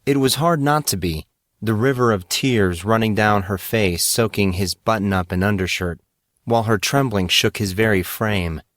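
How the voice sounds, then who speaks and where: A man reading dramatic fiction as a narrator, in a measured and earnest manner. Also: fairly low pitch, a bit bright, no echo, clean studio sound. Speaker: audiobook narrator.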